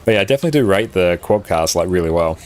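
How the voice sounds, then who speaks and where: A man with a very low voice, chatting casually and rambling with many fillers as he answers viewers on a live game stream, recorded on a compressed condenser mic at a home setup. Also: lively intonation, very fast, a little hiss or hum. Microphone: condenser mic on an arm, compressed.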